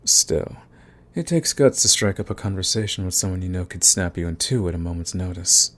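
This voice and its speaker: Man acting a smug villain, low deep male voice, flirtatious, taunting drawl, intimate ASMR romance roleplay. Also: a little fast, a bit bright. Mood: casual, fond. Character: smug, teasing male villain fond of the enemy. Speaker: audio roleplay voice actor.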